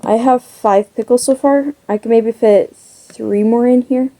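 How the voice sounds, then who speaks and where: A woman's voice: fairly high pitch, steady tone, measured pace, mellow. A young woman chatting casually as a crochet vlogger, rambling with lots of fillers. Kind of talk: casual chat.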